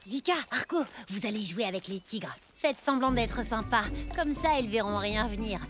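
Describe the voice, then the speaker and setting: A woman's voice: on the high side, animated, normal speed, soft background music, natural voice. A woman voicing a scheming, bossy child clan leader in a cartoon, assertive and sly. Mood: plotting. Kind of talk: animated cartoon dubbing.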